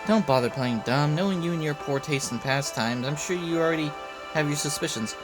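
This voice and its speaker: A man's voice: fairly high pitch, a little fast, music in the background, natural voice. A man voicing a proud female vampire phantom thief from a game, coy, aloof and theatrical. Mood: proud, prickly.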